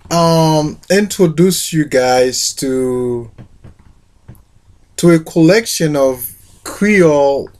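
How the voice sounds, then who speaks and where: A middle-aged man who introduces a poetry collection and reads a poem aloud, speaking haltingly with many fillers. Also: a little high, a little mellow. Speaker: literature teacher.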